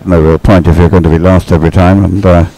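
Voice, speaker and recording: A man's voice: deep voice, flat delivery, fast talker, warm tone. A man giving casual, explanatory live race commentary as a sports commentator.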